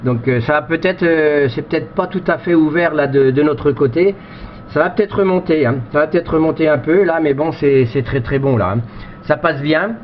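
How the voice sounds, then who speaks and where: A man's voice: a little high, moderate pace. A man chatting casually as an amateur radio operator, rambling and often repeating himself as he searches for words. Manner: hesitant, repetitive, rambling delivery. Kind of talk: casual radio contact chat with ham jargon. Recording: dull lo-fi radio-station audio, faint noise.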